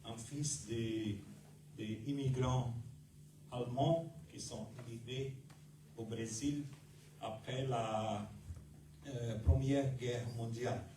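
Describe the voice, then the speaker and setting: A pastor from Brazil with a Brazilian accent preaching a sermon in his fourth language, in slow, measured phrases with pauses between them. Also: medium pitch, steady tone, dark tone, roomy sound, recorded from a distance, slight background noise, poor audio. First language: Portuguese.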